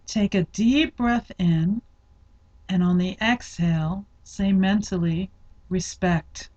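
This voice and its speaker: Female meditation guide, mid-pitched voice, very unhurried and deliberate delivery, slightly muffled lo-fi sound. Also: warm tone. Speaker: yoga nidra meditation guide. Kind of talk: guided meditation, slow instructional narration.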